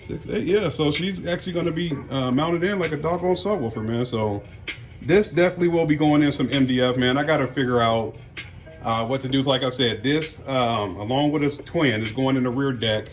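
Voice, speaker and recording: A man's voice: medium pitch, brisk, faint music behind. An American man giving casual unboxing commentary as a car audio vlogger, talking in a folksy, slangy way.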